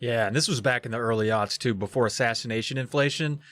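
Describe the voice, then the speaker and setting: Male podcast co-host, casual and sarcastic, quips about the story, middle pitch. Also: fast, a bit bright, no echo. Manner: sarcastic, joking asides. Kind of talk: casual chat.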